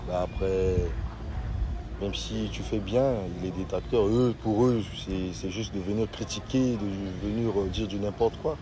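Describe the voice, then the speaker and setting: Young guy w congolese accent, low voice, halting interview answers, street noise outside. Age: 20s. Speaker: footballer being interviewed. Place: outdoors by a hotel pool. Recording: clear outdoor traffic noise.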